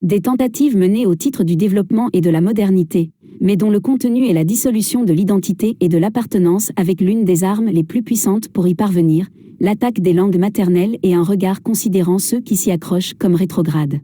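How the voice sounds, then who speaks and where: Female reader, formal and solemn; very dark, mellow voice recorded dry. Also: medium pitch, a little fast, dry recording. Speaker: reader of a translated speech. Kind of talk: formal reading of a speech.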